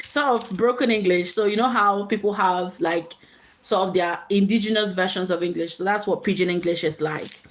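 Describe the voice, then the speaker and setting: A woman's voice: mid-range voice, moderate pace. A woman hosting a casual live chat, speaking reflectively in short, repeated phrases to her viewers. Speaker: live stream host.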